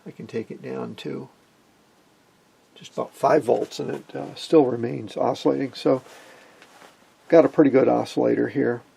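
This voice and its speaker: A middle-aged man giving an informal hands-on tutorial in an unhurried, folksy manner. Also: medium pitch, fairly even intonation, creaky, mellow, husky. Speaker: electronics hobbyist presenter. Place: indoor electronics workbench.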